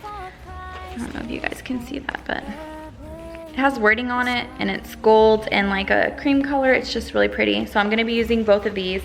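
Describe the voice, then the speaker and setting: A woman's voice: a little high, fairly quick, creaky. A woman vlogger chatting upbeat through a decorating tutorial at home. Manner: upbeat, chatty, lots of "go ahead" and "you guys". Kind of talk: casual tutorial chat. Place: room in her home while decorating. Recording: echoey room, faint music behind.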